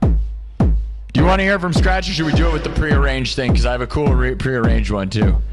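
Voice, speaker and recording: A man's voice: medium pitch, fairly quick. A man from Des Moines, Iowa, hosting a live music stream, chatting casually and rambling as he talks to viewers. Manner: upbeat and rambling, keeps asking viewers "right?". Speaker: livestream host and live-looping musician. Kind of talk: casual livestream chat to viewers. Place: home music studio, indoors.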